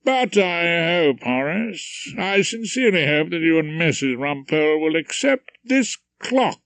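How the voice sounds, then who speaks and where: A self-important senior barrister, put on by a male reader. He stretches his words slowly and grandly, pitched a touch above the reader's own voice. The voice sits dry and close. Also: very animated, gravelly, fairly bright tone, no echo, full character voice. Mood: pompous. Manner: drawn-out, self-important speechmaking. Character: pompous middle-aged male head of chambers.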